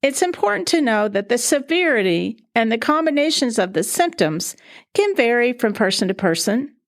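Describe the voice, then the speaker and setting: A woman's voice: on the high side, very animated, moderate pace. A woman podcast reporter reading a scripted news narration.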